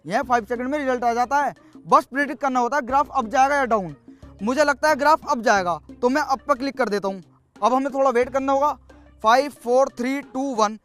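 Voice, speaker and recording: A young village lad, played by a man with a very high-pitched male voice. He explains a scripted sponsor pitch with assurance, faint music running behind. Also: fairly bright tone. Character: confident young village lad pitching a money-making app.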